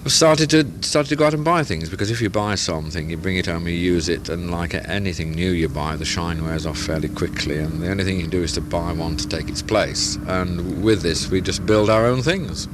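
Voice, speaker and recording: A man's voice: deep voice, fairly quick, few pauses, noisy background. A man answering interview questions about his hobby club, explaining patiently in plain comparisons. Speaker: radio club founder.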